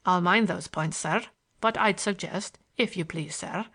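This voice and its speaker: Woman reading as a deferential, measured manservant. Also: on the low side, fairly bright tone, breathy edge. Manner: formal, measured, obsequious. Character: deferential, sly, imperturbable manservant.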